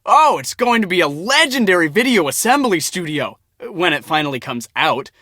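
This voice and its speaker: Eager, bright-voiced young storm chaser. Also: male voice, sits high, sing-song, moderate pace, dry recording, clean studio sound, exaggerated voice. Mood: enthusiastic. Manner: enthusiastic, casual, confiding. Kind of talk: casual scripted game dialogue.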